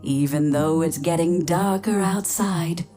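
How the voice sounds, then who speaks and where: A woman's voice: fairly low pitch, a little slow, warm tone, faint music behind, close to an everyday voice. A woman voicing a sweet, genteel ghostly nanny who hosts a spooky storytelling show for young children in a theatrical, coaxing manner. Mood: playful, doting.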